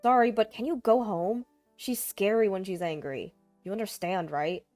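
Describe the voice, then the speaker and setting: A woman's voice: mid-range voice, normal speed, a little mellow, no room sound, soft background music, lightly acted. A woman voicing a callous, selfish husband making dismissive, whiny excuses.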